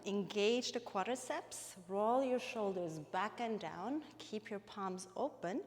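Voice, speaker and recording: A woman's voice: mid-range voice, slow. Swiss woman teaching yoga in a reverberant room. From Switzerland. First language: Bernese Swiss German. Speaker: yoga instructor. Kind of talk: live guided yoga class instruction. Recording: long reverberant hall-like echo.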